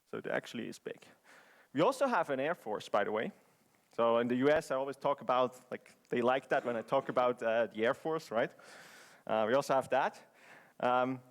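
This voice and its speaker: A man from Switzerland giving a conference presentation in English, chatty and joking, with many fillers and restarts. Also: medium pitch. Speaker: conference speaker. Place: conference hall.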